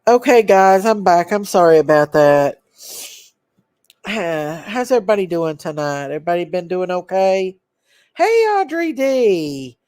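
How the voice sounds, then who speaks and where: Lady stuffed up w a cold, chatting on her livestream, reading names off slow, dry close mic. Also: lowish voice, very animated, darkish tone, no room sound. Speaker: livestream host. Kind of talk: casual livestream chat greeting viewers.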